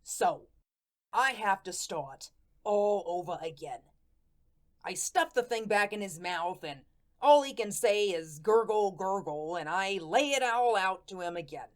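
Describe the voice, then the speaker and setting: A woman's voice: mid-range voice, animated, a little slow, close to an everyday voice. Woman as a slangy, folksy ghost storyteller. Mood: wry, exasperated. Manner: colloquial, slangy, streetwise storytelling. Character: wry, folksy good-natured ghost narrator, lovestruck wise guy. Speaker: narrator. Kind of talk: first-person radio play storytelling.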